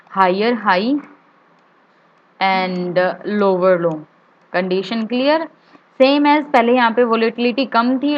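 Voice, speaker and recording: A woman's voice: a little high, highs cut off, a little hiss or hum. A woman with an Indian accent giving a step-by-step tutorial as an instructor, repeating key points for clarity and mixing in English terms. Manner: mixes English terms and phrases into speech. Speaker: trading instructor.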